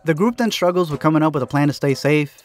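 A man rattles through a scripted movie recap at a brisk clip. His voice sits a little high, and he delivers the story with wry jokes. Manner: quick, wry, joking delivery. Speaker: host.

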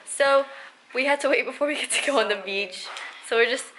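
A woman's voice: sits high, brisk, fairly bright tone. A young woman chatting casually to a vlog camera held at arm's length in a bedroom. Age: teens to young adult. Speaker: vlogger. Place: small carpeted bedroom, indoors. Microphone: handheld camera at arm's length. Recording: noisy handheld camera audio.

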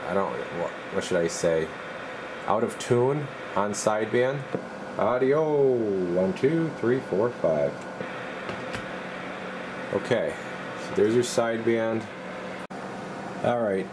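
Man, low voice, relaxed and halting, workshop demo, audible background noise. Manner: relaxed, halting, talks while working. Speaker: radio repair technician. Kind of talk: casual workshop demonstration. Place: radio workshop.

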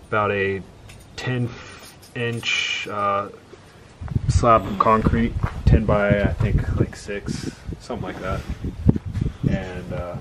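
Man, thirties, lower register, casual and halting working-vlog host, noisy outdoor recording. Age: 30s. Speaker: vlog host doing barn renovation. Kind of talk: casual chat while working, explaining repairs. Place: outdoors beside a metal barn. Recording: noisy outdoor camera audio.

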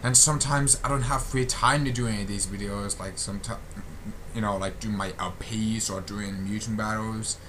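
A young man giving a casual channel update as a gaming video creator, halting and often repeating his phrases, on a built-in computer microphone. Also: fairly low pitch, steady tone, background noise. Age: young adult.

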